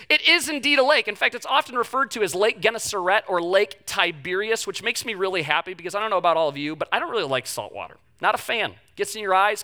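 A man's voice: high voice, bright, forceful tone. A man preaching a casual, conversational sermon to a congregation, chatty and joking with self-deprecating asides. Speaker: pastor. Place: church in front of a live congregation.

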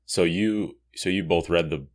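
A man's voice: low-pitched, unhurried. A man hosting a podcast, talking casually through a comic book review. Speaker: podcast host.

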